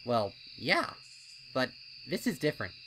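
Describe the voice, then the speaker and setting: A man's voice: mid-range voice, sing-song, measured pace, noisy background, pitched above the performer's own voice. A man voicing a wary, defensive young colt pony with a blustering, indignant manner in a story reading.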